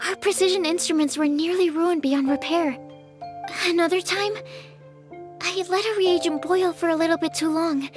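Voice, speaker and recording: Gentle, very high-voiced young alchemist. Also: female voice. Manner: gentle, reassuring, patient explaining. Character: gentle, encouraging young female alchemist lab assistant. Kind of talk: video game voice acting. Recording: game music behind the voice.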